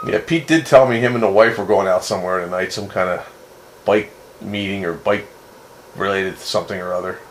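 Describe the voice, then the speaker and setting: A man in casual on-air radio chat, speaking haltingly and repeating phrases, heard over a two-way radio. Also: sits low. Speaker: amateur radio net operator. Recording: noisy radio transmission with static.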